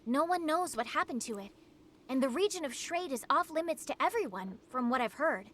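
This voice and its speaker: An earnest, studious female hunter in a game cutscene. Her voice is high, and there is clear ambient noise behind her. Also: expressive, brisk, a bit bright. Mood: thoughtful, earnest. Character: earnest, well-read female monster hunter. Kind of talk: dramatic video game cutscene dialogue.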